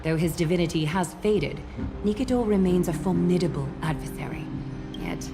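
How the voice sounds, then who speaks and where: Woman as a low, solemn regal demigod. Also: background noise, lightly acted. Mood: solemn, grave. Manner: formal, measured, unexpressive. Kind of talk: fantasy game voice acting. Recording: game music behind the voice.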